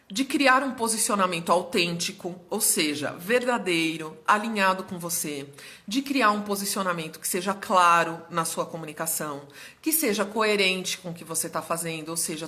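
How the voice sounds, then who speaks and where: A slightly hoarse young woman hosting a casual live stream as a business mentor, explaining things in a chatty way and often checking in with her viewers. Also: lowish voice, bright, forceful tone.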